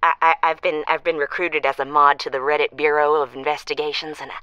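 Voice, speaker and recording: A woman's voice: sits low, level tone, quick pace, sharp tone, smooth, no room sound, highs cut off. A woman playing a scheming, mock-innocent jilted caller in a comic audio drama, stammering and theatrical. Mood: sly, mock-innocent.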